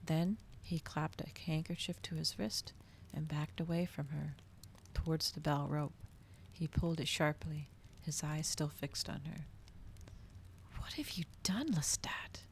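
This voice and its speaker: Female ASMR reader, low and creaky, hushed delivery drifting into whispers, faint noise behind. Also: flat delivery, a little slow. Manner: hushed, often slipping into whispers. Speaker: ASMR streamer reading a novel aloud. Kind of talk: ASMR storybook reading.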